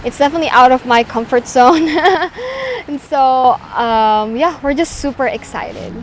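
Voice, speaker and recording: A young woman chatting casually to camera as a vlogger, full of fillers and quick restarts. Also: high voice, slight background noise. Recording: music bed underneath.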